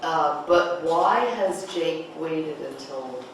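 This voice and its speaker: A person leading a group discussion of a play, asking probing questions and thinking aloud in halting phrases. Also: mid-range voice. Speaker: discussion leader, director of a play reading. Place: echoey room, group table read. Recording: roomy, echoey, noisy distant mic.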